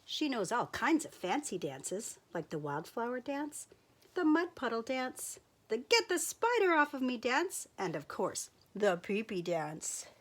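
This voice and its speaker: Expressive woman reading a children's book. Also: fairly high pitch, unhurried, slight background noise. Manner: expressive, playful read-aloud voice. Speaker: storyteller teacher.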